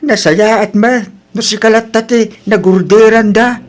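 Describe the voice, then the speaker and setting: A man's voice: high voice, fairly even intonation, a little slow. Man acting a mild village father. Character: mild, sensible village father advising his grown daughter. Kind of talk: Ilocano radio drama acting.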